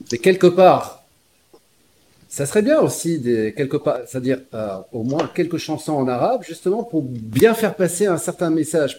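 A man's voice: mid-range voice, darkish tone. Rambling male interviewer, faint hiss. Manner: rambling questions with fillers. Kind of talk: casual interview.

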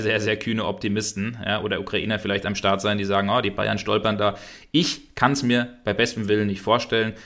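A man's voice: fairly low pitch, a bit bright. A man from Hesse with a slight Hessian accent, co-hosting a football podcast and chatting about betting odds with plenty of fillers. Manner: chatty, quick, many fillers. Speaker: podcast co-host. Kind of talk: casual football betting chat.

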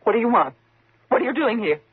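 On a muffled old radio recording, a frightened single woman haunted by fear. Her husky voice moves up and down dramatically, tense and faltering, in classic 1950s suspense style. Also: on the high side, animated, quick pace, dry recording. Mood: indignant, shaken.

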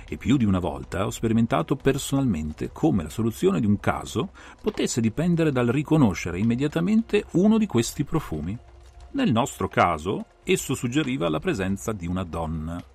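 A man playing a brilliant, self-assured detective who explains a case in a measured, didactic, theatrical way for a dramatised audiobook. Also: on the low side, vocal fry, a little mellow, slightly rough, full character voice. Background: music.

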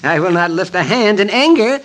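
An actor playing a teasing old frontier town doctor in a 1950s radio drama, chuckling and needling in a folksy way. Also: male voice, high voice, lively intonation, fairly quick, fairly bright tone. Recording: hissy old radio broadcast.

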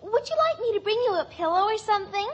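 An actress playing an eager, overly sweet young daughter in a 1950s radio family sitcom, chirpy and solicitous. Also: female voice, very high voice, expressive, fast. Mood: sugary, eager. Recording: dull old radio, audience behind.

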